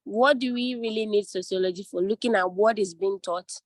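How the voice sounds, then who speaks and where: A woman's voice: medium pitch, a little fast, no room sound, studio quality. A young woman student from Ghana, speaking gratefully and a little hesitantly on a video call. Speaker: university student.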